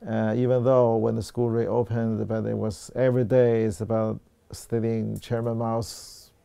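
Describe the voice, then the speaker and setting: A man in his sixties with a Chinese accent, telling personal memories in a halting, reflective way as a documentary interviewee. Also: on the low side, flat delivery, vocal fry, dark tone, clear, resonant tone, no echo. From China. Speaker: artist and art professor.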